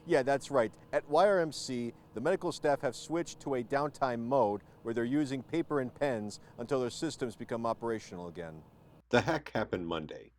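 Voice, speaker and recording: A man's voice: mid-range voice, normal speed, darkish tone, a little hiss or hum. A young man reading a scripted local news report as a reporter. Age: young adult.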